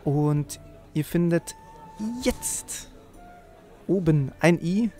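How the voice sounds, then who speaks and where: A man with a creaky voice hosting a let's play, commenting on the game and reading quest text aloud. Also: on the high side, extremely slow, warm tone. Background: game music.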